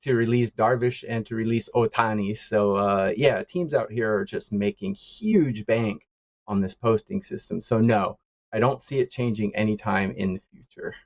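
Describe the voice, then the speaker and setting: Low-voiced man, halting with fillers. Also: dry recording, lo-fi sound. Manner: halting, many uh fillers, chuckles often. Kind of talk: casual call-in chat. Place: lives in East Asia.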